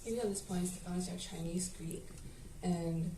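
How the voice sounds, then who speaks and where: Female moderator asking questions, calm and formal, noisy echoey hall, kinda far. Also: on the low side, moderate pace. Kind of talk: festival Q&A moderating. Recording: echoey room, noisy, mic at a distance.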